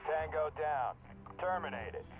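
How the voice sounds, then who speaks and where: An actor playing a clipped, aggressive military operative reporting over a radio in a video game. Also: male voice, fairly high pitch, takes it slowly, narrow, tinny audio, over music. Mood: tense, clipped.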